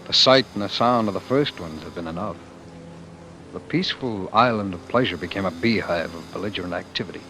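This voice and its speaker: A man's voice: deep voice, brisk, lightly acted. An actor in an old-time radio play, voicing a defiant, bitter man fleeing war, in an emphatic theatrical manner. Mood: somber narration. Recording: noisy old radio broadcast recording.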